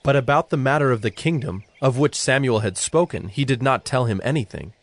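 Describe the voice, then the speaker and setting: A man narrating scripture from a script as a solemn, measured storyteller. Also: medium pitch, expressive, no echo.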